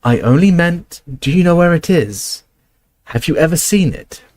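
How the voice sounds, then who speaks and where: Earnest young hero, hurried and urgent; near the reader's own voice. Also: male voice, fairly high pitch, expressive, darkish tone, no room sound, pitched above the performer's own voice, natural voice. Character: urgent teenage boy wizard.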